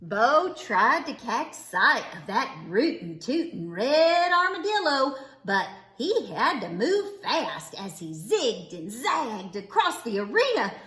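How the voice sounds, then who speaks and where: A woman reading a children's story aloud with theatrical, deliberate phrasing. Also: on the high side, big pitch swings, a bit bright. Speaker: storybook reader. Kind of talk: expressive children's read-aloud narration. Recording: roomy, echoing indoor sound.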